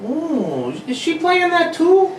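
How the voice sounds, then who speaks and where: A woman's voice: very high-pitched, wildly swooping pitch, takes it slowly, full character voice. A woman playing a breezy, gossipy housewife and old friend in a radio drama. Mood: gossipy, amused. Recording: roomy, distant mic, faint noise.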